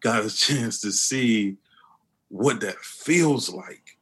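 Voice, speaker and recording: A man with a deep voice, speaking earnestly as an interview guest, often restarting his sentences. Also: extremely slow, fairly bright tone, slightly rough. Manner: earnest, with many restarts and fillers. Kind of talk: conversational interview.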